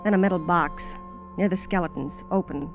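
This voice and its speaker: A woman's voice: fairly low pitch, moderate pace, faint music behind, lightly acted. An actress in a 1950s radio drama, playing and narrating as a wry, streetwise, colloquial showgirl castaway. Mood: reflective, narrating. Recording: dull vintage radio recording.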